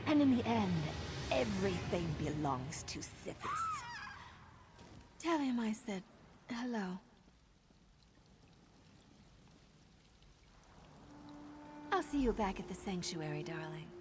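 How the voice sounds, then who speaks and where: A woman's voice: mid-range voice, wildly swooping pitch, a little slow, noisy background. An actress playing a brazen, vengeful young female assassin in a video game, theatrical and sardonic. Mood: smug, menacing. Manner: theatrical, sardonic, emotionally charged. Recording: background music under the voice.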